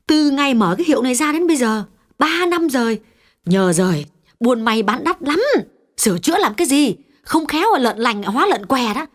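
A man voicing a prosperous, anxious middle-aged woman shop owner in a chatty, hesitant falsetto. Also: animated, fairly quick, a bit bright. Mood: refusing.

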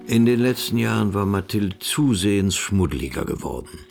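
Deep, creaky male narrator over music. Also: sing-song, moderate pace. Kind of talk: scripted literary radio play narration.

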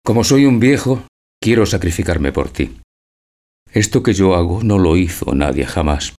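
An actor playing a gentle, dreamy old husband who schemes, declaiming tenderly in a theatrical audio drama. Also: male voice, sits low, animated, moderate pace. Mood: solemn, secretive. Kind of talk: theatrical radio drama acting.

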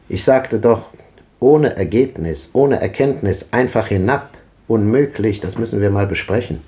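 A man's voice: on the low side, vocal fry, warm tone. An actor playing a restless, brooding old man in a German radio drama of the 1950s, musing in clipped fragments. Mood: troubled, searching. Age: older. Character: restless, brooding old man recalling rough odd jobs.

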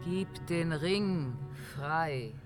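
Eine Schauspielerin spielt in einem Fantasy-Hörspiel eine ernste, allwissende Erdgöttin, feierlich und orakelhaft. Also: female voice, low-pitched, animated, drawn-out words, exaggerated voice. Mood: solemn warning. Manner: solemn, oracular. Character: grave, all-knowing earth goddess prophesying doom. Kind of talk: fantasy radio play acting. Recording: music behind the voice.

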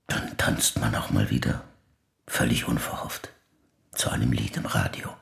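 An actor playing a grieving older man who speaks reflectively and slowly in a measured, pensive audio drama monologue. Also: heavily acted. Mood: quietly accepting. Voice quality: very deep, gravelly, breathy at phrase ends. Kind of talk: slow literary dramatic monologue.

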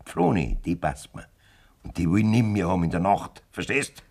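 An actor playing a domineering, lecherous farmer in a German radio play, blunt and scornful, with a Bavarian accent. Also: male voice, sits low, very animated, brisk, vocal fry, a little mellow, heavily acted.

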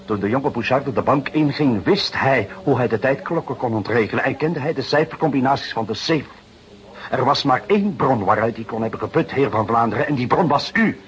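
An actor playing a shrewd, unruffled police inspector who questions in a measured, drily insistent way in a detective radio drama. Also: male voice, medium pitch, expressive, a little hiss or hum, lightly acted, poor audio. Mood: pressing, accusing.

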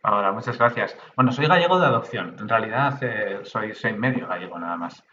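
A man's voice: lowish voice, expressive, a little fast, pushed and bright, muffled recording, slight background noise. A middle-aged man the Basque Country, a podcast guest who explains things in a conversational way, with many restarts and hesitations. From Basque Country, Spain. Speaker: guest, data protection official. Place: remote from his own room.

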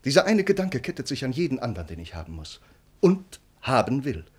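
An actor playing an earnest, brooding count and devoted bridegroom in a formal, declamatory classical German radio play. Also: male voice, mid-range voice, wildly swooping pitch, brisk, husky.

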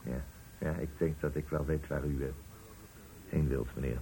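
An actor in a radio play playing a nervous, servile factory foreman, hesitant and deferential, with a very rough, husky voice. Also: very deep voice, fairly even intonation, moderate pace, mellow, low-quality recording. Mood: cautious, deferential. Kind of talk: Dutch radio play acting.

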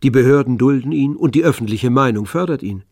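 A man narrating a tragic novel for a radio play in a measured, literary manner. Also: mid-range voice, a little mellow, dry recording, natural voice. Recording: dry studio with faint music.

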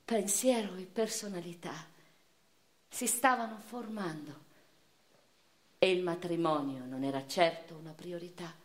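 A woman's voice: lowish voice, close to an everyday voice. Actress as a theatrical, passionate noblewoman in echo. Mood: subdued, bitter intimacy. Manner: measured, intense delivery swinging from hushed to impassioned. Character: educated eighteenth-century noblewoman, passionate and wounded, recalling her life. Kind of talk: theatrical first-person dramatic monologue for radio. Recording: roomy echo, faint music behind.

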